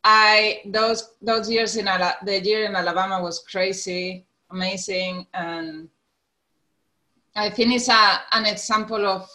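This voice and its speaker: A woman's voice: mid-range voice, fairly even intonation, unhurried, pushed and bright. A woman in her forties from the Canary Islands with a Spanish accent, a guest in a casual interview who laughs often and restarts her sentences. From Spain, Canary Islands. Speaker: podcast guest.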